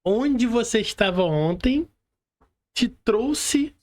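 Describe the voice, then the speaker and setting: A man with a high voice and a Brazilian accent hosts a casual interview. He talks informally and keeps tagging his sentences with little questions for agreement. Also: expressive, slow, darkish tone. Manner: informal, frequent tag questions seeking agreement. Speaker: podcast host interviewing a guest.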